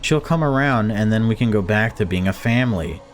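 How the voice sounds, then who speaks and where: A man's voice: fairly low pitch, a little fast, soft background music, lightly acted. Man voicing an anxious, pleading father. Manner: hesitant, pleading.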